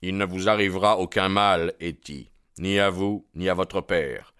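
A man voicing a composed, defiant young man in an audiobook, speaking in a quiet, dry manner. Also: low-pitched, fairly even intonation, measured pace, clear, resonant tone, no echo. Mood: bitter, tender. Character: cool, defiant, bitterly self-aware young man.

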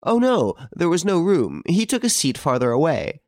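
A man's voice: a little high, huge pitch swings, normal speed, darkish tone, no room sound, studio quality, voice pushed up. A man voicing a polite, anxious old lady who pleads earnestly in an audiobook. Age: older.

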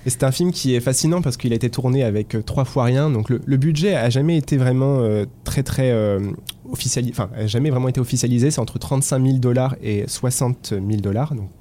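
A man's voice: mid-range voice, quick pace. A man hosting a film podcast, introducing topics and leading the talk with some hesitation. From France. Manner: lively, hesitant at times. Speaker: podcast host.

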